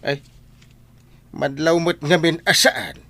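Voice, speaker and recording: A man's voice: a little high, fairly even intonation, slow pace, a bit bright, slight background noise. A man playing a gossipy village captain in an Ilocano comedy radio drama, talking in exclamations and interjections.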